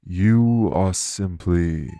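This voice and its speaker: An actor playing a courteous, remorseful immortal elven king in an audio roleplay, speaking in formal, measured phrases. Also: low-pitched. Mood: calm, earnest. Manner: formal, measured, stately wording. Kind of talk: scripted audio roleplay acting.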